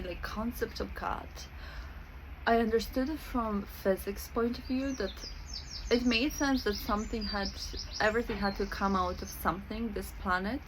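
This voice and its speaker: Serbian-accented woman, chatty, soft noise. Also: medium pitch, poor audio. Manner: chatty, many fillers, laughs often. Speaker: spiritual coach and YouTuber. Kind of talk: casual talk to camera.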